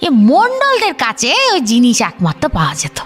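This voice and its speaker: A woman's voice: on the high side, huge pitch swings, moderate pace, husky, full character voice. A woman playing an elderly village grandmother in an audio drama, chatty and chiding, full of rhetorical questions. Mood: puzzled, recalling. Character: scolding, reminiscing elderly grandmother of a village household. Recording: music behind the voice.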